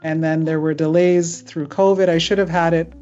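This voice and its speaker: Middle-aged woman host, low voice, chatty and informal, muffled video-call sound. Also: fairly even intonation, normal speed, mellow, soft background music. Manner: chatty, with frequent fillers and laughs. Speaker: immigration lawyer hosting a webinar. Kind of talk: casual webinar talk.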